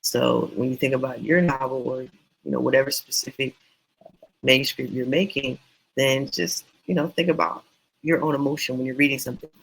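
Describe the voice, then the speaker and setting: A man teaching writing in a casual, meandering, encouraging way, his voice going hoarse. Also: medium pitch, steady tone, fairly quick, fairly bright tone, poor audio. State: losing voice, hoarse. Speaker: self-published author teaching writing.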